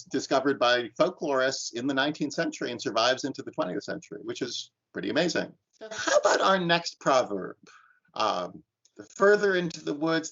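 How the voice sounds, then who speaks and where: Male instructor, mid-pitched, halting lecture with restarts, lo-fi online call. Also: fairly bright tone. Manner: thoughtful, many restarts and ums. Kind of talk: lecture with class discussion. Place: online class.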